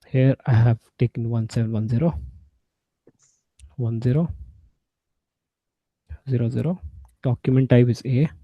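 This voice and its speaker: A man giving an informal software walkthrough, explaining step by step in a halting way. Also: mid-range voice, fairly even intonation, no echo, dull audio. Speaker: SAP instructor.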